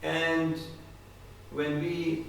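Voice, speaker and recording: A man with a mid-pitched, gravelly voice delivers a devotional talk, turning often to his listeners with direct questions. He is recorded from a distance in a large, very reverberant hall. A faint hiss sits under the recording. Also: monotone, extremely slow. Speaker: spiritual speaker. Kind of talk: devotional talk to a live audience. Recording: echoey hall, distant mic, faint noise.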